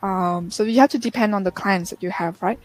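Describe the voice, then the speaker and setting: Woman in her 30s, thoughtful and sincere, talking over a video call, mid pitch. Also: brisk, poor audio. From Vietnam. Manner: earnest, reflective storytelling. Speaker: interview guest. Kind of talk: casual interview chat.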